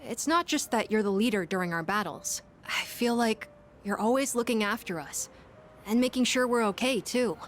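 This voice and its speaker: A woman playing an earnest, gentle young schoolboy in a video game, speaking politely and thoughtfully with some hesitation. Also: fairly high pitch, normal speed, a little hiss or hum, close to an everyday voice. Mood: earnest, warm. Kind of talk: English video game voice acting.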